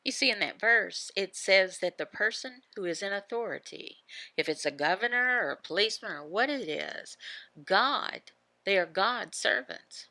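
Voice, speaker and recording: Woman doing a bible devotional, bright gravelly voice, short choppy phrases, asks questions then answers them. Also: mid-range voice, lively intonation, normal speed, no room sound, poor audio. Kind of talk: devotional teaching voicing a signed sermon.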